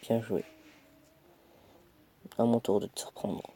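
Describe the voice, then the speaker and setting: A man's voice: on the low side, fairly even intonation, slow pace, warm tone. A young man with a cold, casually commentating his own mobile game match, chatty and self-mocking, muttering as he plays. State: stuffy with a cold.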